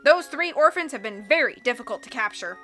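Woman doing a blunt male henchman, forceful. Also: high voice, animated, brisk, soft background music.

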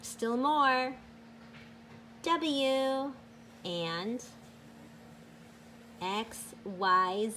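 A woman teacher reading a picture book aloud to children in a deliberate, sing-song, emphatic way. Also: on the high side, fairly bright tone, a little hiss or hum.

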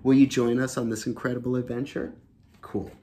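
A man's voice: medium pitch, little pitch movement, a little fast, mellow. A man in his thirties reading a children's book aloud, explaining it simply and enthusiastically in a room at home. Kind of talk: animated read-aloud storytelling with explanations. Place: small home room indoors.

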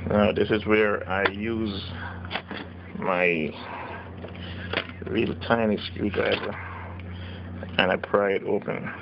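A man slowly walking through a hands-on circuit board repair at his workbench, explaining each step plainly. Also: fairly low pitch, fairly even intonation, vocal fry. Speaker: radio repair technician. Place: workbench, indoors. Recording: dull lo-fi camera audio with noise.

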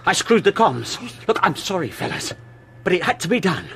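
An actor playing a feverish research scientist half-crazed with dread, halting and intense, in a radio drama. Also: male voice, high voice, very animated, gravelly, a bit bright, slight background noise, exaggerated voice. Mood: manic despair.

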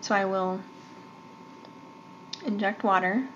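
A woman's voice: medium pitch, steady tone, slow. A woman giving a step-by-step instructional demonstration of medical equipment. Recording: dull lo-fi sound, faint noise.

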